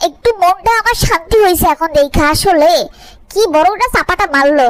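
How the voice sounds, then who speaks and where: A woman's voice: extremely high pitch, lively intonation, moderate pace, no echo. A woman voicing an envious, gossipy young friend in a cartoon, with catty, colloquial talk. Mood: gloating, astonished. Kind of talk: cartoon voice acting.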